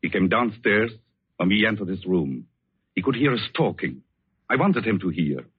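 An actor playing a grave, devoted man in a 1940s radio suspense drama, speaking deliberately and dramatically. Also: lowish voice, very animated, husky, dry recording. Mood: urgent, apologetic. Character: grave, devoted man confessing the truth to his lover. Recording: muffled, narrow old 1940s radio recording.

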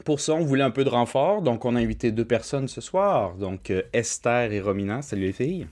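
A man's voice: mid-range voice, lively intonation, brisk, darkish tone. A man with a Québécois French accent hosting a podcast, jokey and chatty, with fillers and restarts. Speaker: podcast host. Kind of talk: casual podcast chat.

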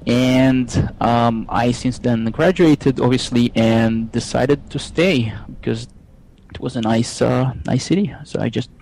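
An Israeli man talks through his work as an interview guest. He speaks in halting stretches full of "um" and restarts, and his voice sits in a middle register. A faint hiss runs under the recording. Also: medium pitch, gravelly, a little mellow, low-quality recording. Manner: hesitant, many fillers and restarts. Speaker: software engineer guest. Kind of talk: technical interview.

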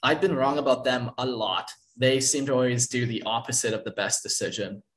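A man's voice: medium pitch, a little fast, a bit bright. A man hosting a podcast, chatting casually with slangy, sweary fan enthusiasm. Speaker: podcast host. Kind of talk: casual chat.

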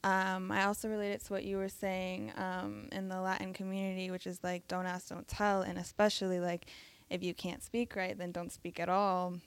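A woman's voice: lowish voice, flat delivery, moderate pace, clean tone, dry recording. A young woman who stutters, sharing her experience at a live talk with frequent fillers and hesitations. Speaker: audience member sharing her story.

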